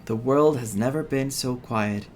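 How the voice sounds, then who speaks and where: A man streaming a story game, reading dialogue aloud and adding his own expressive reactions. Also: medium pitch, unhurried. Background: game music.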